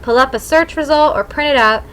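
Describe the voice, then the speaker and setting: A woman's voice: high voice, fairly quick, fairly bright tone. A woman narrating a novel in the first person as a scripted audiobook reading.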